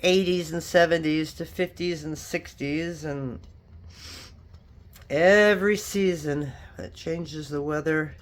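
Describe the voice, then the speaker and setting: A craft vlogger who sounds a little sniffly and stuffed up. The speaker talks casually through a hands-on project and drifts into chatty asides. The voice sits at a middling pitch. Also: slow. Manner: chatty and rambling, with frequent asides. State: sniffly, stuffed up from allergies or a cold. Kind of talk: casual tutorial chat while working.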